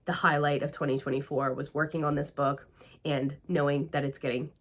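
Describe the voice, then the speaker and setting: A woman's voice: sits low, little pitch movement, brisk. A woman with an American accent, hosting her own show and talking through her year in a chatty, gushing way with many fillers. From Greece and the United States. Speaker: podcast host and priestess. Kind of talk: casual personal recap talk.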